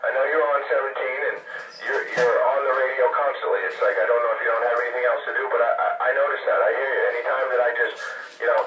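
An American man bickers over CB radio at a brisk clip. He is casual, sarcastic and dismissive. The transmission sounds echoey and a bit distant, with faint noise. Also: medium pitch, fairly even intonation, sharp tone. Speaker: CB radio hobbyist.

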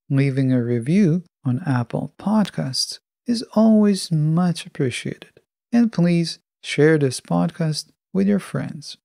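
A podcast host giving a scripted solo self-help monologue in measured, deliberate phrasing. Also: medium pitch, vocal fry, mellow, no echo, clean studio sound.

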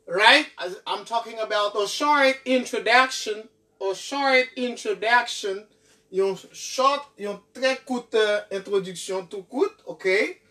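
A young man teaching at a whiteboard, drilling each phrase slowly and checking in with "ok?" after nearly every line. His voice is bright and forceful. It is picked up from a few feet away by a camera mic in a small room. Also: very high-pitched. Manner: slow, repeats each phrase, often asking "ok?". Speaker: English teacher. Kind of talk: classroom lesson. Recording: voice from far off the mic.

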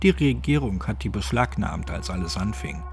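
A man's voice: lowish voice, animated, moderate pace. A man voicing a cynical, grumbling old village man in clipped, colloquial speech for a horror audiobook. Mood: grumbling contempt. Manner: colloquial, clipped, gruffly chatty. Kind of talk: horror audiobook character dialogue.

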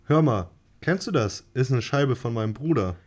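A man voicing August, an odd, nervous young man who stalls and speaks flatly, in a radio play. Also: medium pitch, moderate pace, natural voice. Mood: deadpan. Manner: hesitant and stalling, flat deadpan. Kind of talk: amateur radio-play reading.

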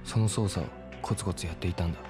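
An actor playing a tough, blunt young male street fighter in a Japanese game drama, gruff and rough-spoken. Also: low voice, fast talker, over music, close to an everyday voice. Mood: pensive. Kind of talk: Japanese video game cutscene acting.

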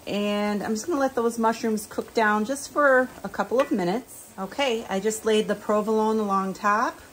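Older woman, home cook vlogger, chatty step-by-step explainer, mid-pitched, kitchen setting. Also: fairly bright tone, slight background noise, low-quality recording. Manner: chatty, explains each step plainly with fillers. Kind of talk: casual cooking vlog.

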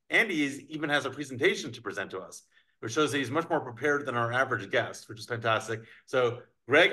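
A man in his thirties hosting an interview over a video call from a bright office, chatty, with many restarts and fillers. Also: medium pitch. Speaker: host, crypto attorney. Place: bright office with large windows. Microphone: video call webcam.

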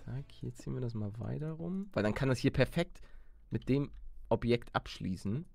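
A man's voice: medium pitch, animated, normal speed, creaky, a little mellow. A man giving casual, slangy gameplay commentary, chatting and exclaiming as he plays. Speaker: let's player. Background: background music.

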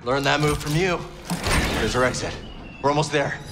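Man playing a determined young rebel pilot, loud music. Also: a little high, moderate pace, close to an everyday voice. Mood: focused, urgent. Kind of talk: video game cutscene acting.

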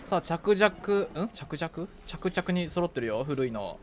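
A man casually chatting and reacting aloud as he plays a video game with a friend, excitable in manner. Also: fairly high pitch, a little slow, slight background noise. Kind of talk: casual co-op gaming chat. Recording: game music behind the voice. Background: video game music.

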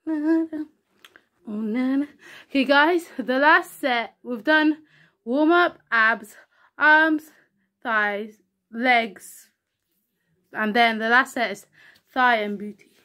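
A woman's voice: slow pace, pauses often, sharp tone. Young woman vlogging between workout sets. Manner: breathless and low-voiced between workout sets. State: out of breath. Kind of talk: casual vlog chat. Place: living room at home.

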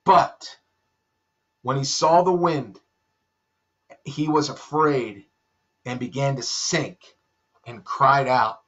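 A man in his fifties, a pastor, preaching a sermon from a room at home into a webcam, dropping soft and low for emphasis. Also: slow pace, pauses often. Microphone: webcam at desk. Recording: dull lo-fi webcam audio.

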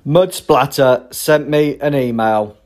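A man giving comic scripted narration as a storyteller, in a deadpan, measured manner. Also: mid-range voice.

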